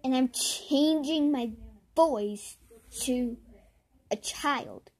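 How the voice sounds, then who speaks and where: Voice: sits high, drawn-out words. A child hosting a casual video, trying out and showing a phone app. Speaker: video host.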